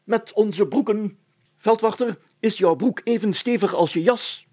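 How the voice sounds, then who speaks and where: A man's voice: high voice, unhurried, darkish tone, pitched above the performer's own voice. A man voicing a proud, bossy village mayor in a story, with a pompous, commanding way of talking. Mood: angry, bossy.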